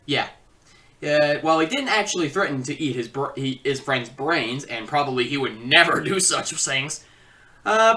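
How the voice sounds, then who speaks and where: A woman's voice: on the low side, pushed and bright, distant mic. A woman giving a casual, comedic review with sarcastic, rambling asides. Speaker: video reviewer.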